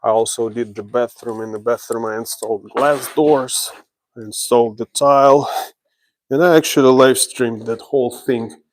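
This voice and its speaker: A man from Ukraine, a renovation contractor, explaining his work step by step on a job-site livestream, in slow, halting speech. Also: mid-range voice, steady tone.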